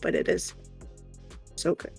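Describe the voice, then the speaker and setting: A woman co-hosting a livestream in casual chat, rambling and hesitant as she thinks aloud, with a creaky voice. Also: measured pace, faint music behind. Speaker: co-host of an art livestream.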